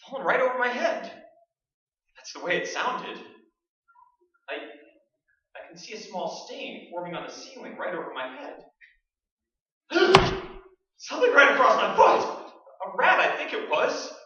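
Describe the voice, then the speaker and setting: A man acts out a jittery broadcaster in a radio ghost drama. His bright, forceful voice chatters and stumbles nervously. It is heard from far off in a very echoey hall. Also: sits high, big pitch swings, a little slow, pauses often, full character voice. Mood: jumpy. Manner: jittery, rambling, stammering. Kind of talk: staged radio play acting. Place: large hall stage, staged performance. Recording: very echoey stage recording from far off, muffled.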